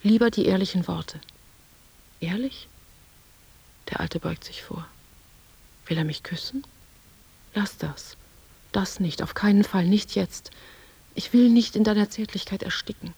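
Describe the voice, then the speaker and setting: Creaky, melancholy young woman. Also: mid-range voice, a little slow, long pauses, mellow, exaggerated voice, poor audio. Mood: wounded, resolute. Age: young adult. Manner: introspective, poetic monologue. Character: young woman, melancholy, dreamy, stubbornly independent. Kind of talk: literary radio play, inner monologue.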